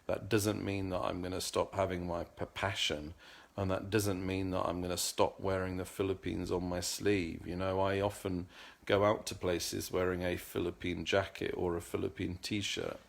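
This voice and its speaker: A man's voice: deep voice, flat delivery, moderate pace, vocal fry. A middle-aged man talking earnestly in a casual vlog monologue, often repeating words as he searches for the next one. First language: English. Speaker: vlogger.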